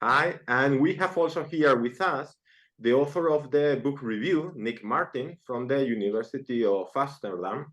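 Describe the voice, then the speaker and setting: A man's voice: mid-range voice, normal speed, a bit bright. A man hosting an academic interview, introducing the guests and asking questions with hesitant repeats. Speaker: interview host.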